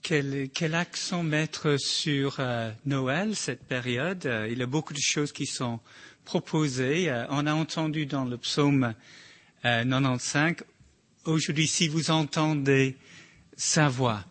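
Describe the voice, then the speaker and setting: A man with a slight accent giving a halting, informal sermon reflection with many restarts. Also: medium pitch, a bit bright. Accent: slight foreign accent in French. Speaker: church member sharing a reflection.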